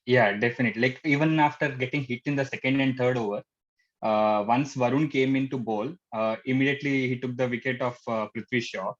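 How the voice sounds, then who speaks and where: Halting Indian man, muffled lo-fi audio. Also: mid-range voice, fairly even intonation. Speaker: cricket show panelist. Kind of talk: casual match analysis. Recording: dull, lo-fi band-limited sound.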